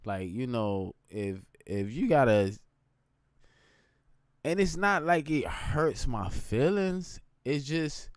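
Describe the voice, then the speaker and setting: A man with a deep, low voice talking informally in a casual, rambling livestream monologue, often checking in with his listeners. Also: very animated, slow, clear, resonant tone, no echo, studio quality. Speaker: live streamer.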